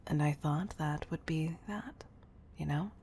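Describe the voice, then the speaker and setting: A woman playing a protective, doting CEO, tough but tender, in an intimate audio roleplay, husky and breathy, often close to a whisper. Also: deep voice, mellow, slight background noise. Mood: confessional, restrained. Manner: intimate, deliberate delivery with pet names.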